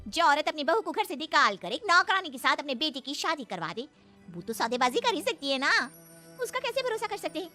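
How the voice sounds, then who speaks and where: A woman's voice: high voice, sing-song, fairly quick, sharp tone, soft background music, exaggerated voice. A woman voicing a brazen, greedy young housemaid in a cartoon family drama, taunting and haughty in a sly way. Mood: scheming. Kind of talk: dramatised cartoon story dialogue.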